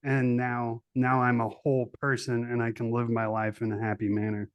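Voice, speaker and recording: Middle aged guy hosting a podcast, normal pitch, dry sound, super enthusiastic, repeats stuff. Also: little pitch movement, moderate pace, dry recording. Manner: enthusiastic, emphatic, repeats for stress. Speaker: podcast host. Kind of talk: casual interview chat.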